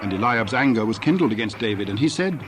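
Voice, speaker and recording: A man's voice: on the low side, animated, fast talker. A man reading scripted scripture narration as the narrator of a dramatization. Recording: noisy background ambience.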